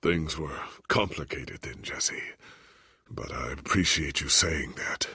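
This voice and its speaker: An actor playing a weary, confused, humbled warrior hero in a video game, raspy, with formal and earnest dramatic phrasing. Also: male voice, very deep voice, slow, bright, forceful tone, no room sound, studio quality, heavily acted. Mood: somber. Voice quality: raspy, gravelly.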